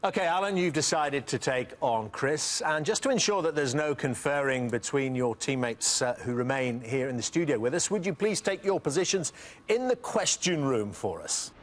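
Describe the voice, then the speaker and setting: A man hosting a studio quiz show, welcoming players, explaining rules and reading out questions in a brisk, upbeat way. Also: fairly high pitch, expressive.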